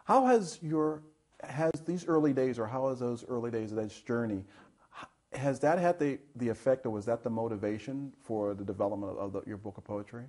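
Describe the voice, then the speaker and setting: A man's voice: mid-range voice, a little fast, a little mellow. A middle-aged man hosting a TV studio interview, who stumbles and repeats words when he asks questions. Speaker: TV host. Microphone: lapel.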